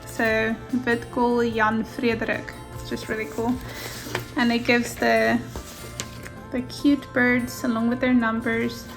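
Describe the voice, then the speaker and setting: A gentle, softly enthusiastic woman whose first language is Afrikaans, talking through her handmade journal at an easy pace. Her voice sits a little high. Faint music plays quietly behind her. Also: flat delivery, slow pace, poor audio. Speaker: junk journal maker. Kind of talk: casual flip-through narration. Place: indoors at a craft table.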